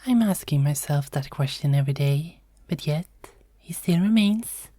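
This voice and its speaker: A woman's voice: deep voice, animated, moderate pace, dark tone, no room sound. A woman playing a teasing, kindly Norse goddess in a conversational fantasy audio roleplay. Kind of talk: fantasy ASMR roleplay acting.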